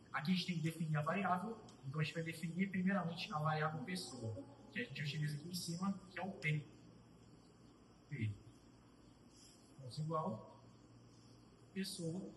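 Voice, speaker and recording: A young man with a fairly high voice talks through code as he types it. He thinks aloud, pauses and restarts his sentences, and sounds a little distant from the mic. The room adds a roomy echo, with a faint hum of ambience behind him. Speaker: student presenter. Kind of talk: live coding tutorial.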